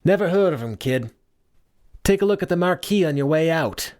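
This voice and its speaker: A gruff, kindly older theater boss, played by a male audiobook narrator, pitched well above a deep register. He teases like an old friend and encourages warmly, in the manner of a storybook character. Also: expressive, moderate pace, close to an everyday voice. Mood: gruff teasing.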